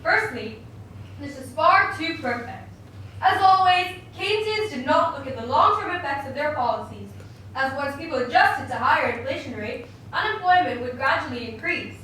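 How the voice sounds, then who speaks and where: A woman's voice: high-pitched, lively intonation, moderate pace, sharp tone. A woman voicing an opinionated male economist puppet in a scripted mock interview, lecturing emphatically and dismissively. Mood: scornful. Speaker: interview guest. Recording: very echoey room, distant mic, faint noise.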